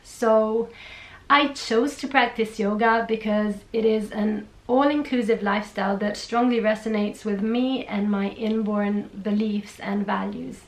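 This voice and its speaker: A woman's voice: medium pitch, fairly even intonation, unhurried. A young woman from Italy with an Italian accent, chatting through a Q&A on her own channel. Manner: bright and chatty, reads out questions then answers at length. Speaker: vlogger. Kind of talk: casual Q&A vlog. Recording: echoey room.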